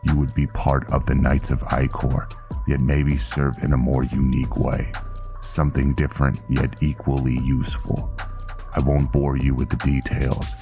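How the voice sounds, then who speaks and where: A man voicing a solemn, commanding vampire blood knight elder in a fantasy roleplay, with a gravelly, grave, measured and formal delivery. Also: very deep voice, flat delivery, over music. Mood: grave, persuasive. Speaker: character narrator in a roleplay story. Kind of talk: dramatic scripted fantasy roleplay.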